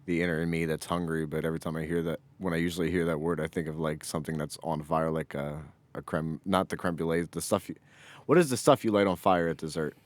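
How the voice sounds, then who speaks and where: A man's voice: extremely low pitch, fairly even intonation, quick pace, dry recording. A man co-hosting a podcast, chatting casually with joking, sweary banter. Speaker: podcast co-host.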